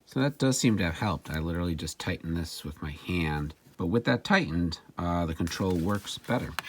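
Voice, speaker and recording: A man's voice: sits low, lively intonation, normal speed, heavy background noise. A man narrating a casual hands-on repair demonstration, plain-spoken and understated, thinking aloud as he goes.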